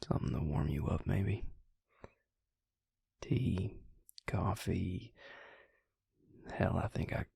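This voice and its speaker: Male actor as reclusive protective vampire, extremely creaky low voice, unhurried, softly teasing, close dry sound, intimate roleplay. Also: dry recording, in a whisper, exaggerated voice. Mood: warm, teasing. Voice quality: gravelly, breathy, very low voice. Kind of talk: intimate audio roleplay acting.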